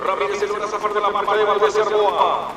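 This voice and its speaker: A man playing an excited football radio commentator in a radio drama, calling goals at a rapid clip. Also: high-pitched, natural voice. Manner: rapid, shouting goal calls. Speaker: match commentator. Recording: echoey, distant, noisy stadium sound.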